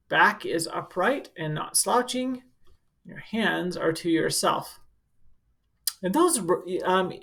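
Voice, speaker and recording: A woman's voice: medium pitch, vocal fry. A woman school counselor reading a picture book aloud to children, adding informal, instructive asides as she goes. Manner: instructive, speaking directly to children.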